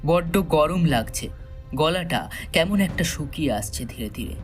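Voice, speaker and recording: A man narrating a scripted story solemnly and dramatically, with measured pauses. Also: on the high side, lively intonation, soft background music.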